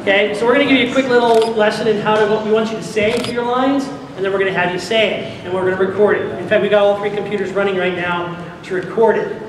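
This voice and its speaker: High-voiced man in a very echoey hall. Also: fairly even intonation, fast talker, a crowd in the background. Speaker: presenter directing an audio drama recording. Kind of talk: informal live instructions to an audience. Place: large convention hall. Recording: echoey noisy hall, distant sound. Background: room noise and ambience.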